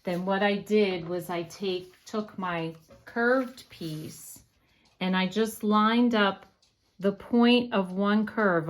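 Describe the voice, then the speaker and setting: A woman explaining a paper craft step by step in a casual, unhurried way with frequent fillers, her voice going hoarse. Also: lowish voice. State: losing her voice, coughing. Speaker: craft tutorial host.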